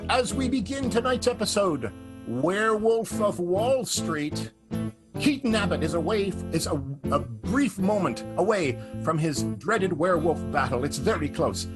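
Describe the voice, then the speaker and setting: Male host-narrator, high voice, very animated, wry dramatic announcing over clear guitar music. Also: unhurried. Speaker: host and narrator of improvised radio show. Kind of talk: lively scripted-sounding improvised narration. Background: plucked guitar music.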